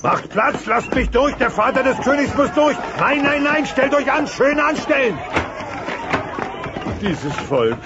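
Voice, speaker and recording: High, pompous dinosaur dad. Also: male voice, sing-song, measured pace, exaggerated voice. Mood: bossy, excited. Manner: pompous, theatrical. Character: pompous, boastful dinosaur father of the young king. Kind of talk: German audio play acting. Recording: dull cassette sound, faint noise.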